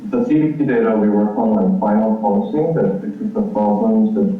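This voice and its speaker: A man's voice: on the low side, moderate pace, distant mic, slight background noise. Hesitant man on a tinny call line. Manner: hesitant, many fillers like "like" and "um". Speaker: panel speaker from a game publisher. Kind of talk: casual panel talk over a call. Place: remote, heard through a video call. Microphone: built-in laptop microphone. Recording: phone-like call audio in an echoey hall.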